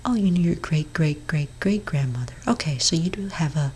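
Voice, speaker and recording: A woman speaking very softly and breathily in an ASMR therapist roleplay, gently reassuring with a hesitant, counselling manner. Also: deep voice, animated, moderate pace, a little hiss or hum. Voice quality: breathy, whispery soft voice. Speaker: ASMR performer role-playing a therapist. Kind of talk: soft-spoken ASMR roleplay.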